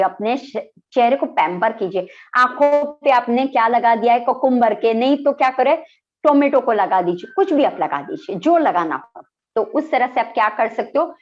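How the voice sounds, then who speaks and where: A middle-aged woman, a naturopathy doctor, giving chatty, instructive skincare advice and often slipping into English phrases. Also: high-pitched. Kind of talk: casual health talk. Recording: dull lo-fi sound.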